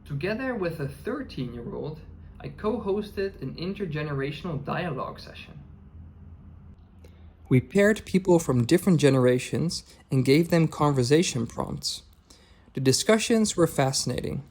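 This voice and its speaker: A man whose first language is Dutch, narrating his travel vlog in a calm, measured, scripted voice-over. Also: a little high, slightly rough. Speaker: travel vlogger.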